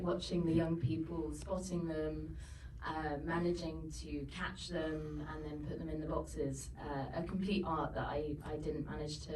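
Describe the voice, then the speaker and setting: A woman's voice: low-pitched, a little mellow, a little hiss or hum. A British woman from the UK, a filmmaker answering questions at a screening Q&A, hesitant with many ums and restarts. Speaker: filmmaker on a panel. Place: venue hall. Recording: roomy hall, picked up from far off.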